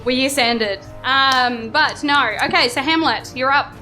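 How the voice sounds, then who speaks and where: A woman runs a tabletop game live on stage. Her voice is high and bright, and she talks slowly and playfully, with music behind her. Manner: playful, bantering. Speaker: game master. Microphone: stage microphone.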